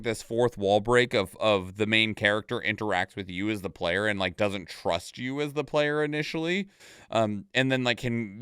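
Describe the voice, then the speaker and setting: A man's voice: lowish voice, fairly bright tone, clear, resonant tone, no echo, clean studio sound. A man giving casual, off-the-cuff reaction commentary as a streamer, rambling and thinking aloud in stop-and-start phrases. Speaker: video creator and streamer reacting to game trailers.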